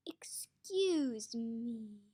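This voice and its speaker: Woman acting a slow, soft-spoken, menacing female warden. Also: fairly high pitch, animated, heavily acted. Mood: icy politeness. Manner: slow, deliberate, icily polite. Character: cold, authoritative female camp warden, quietly menacing.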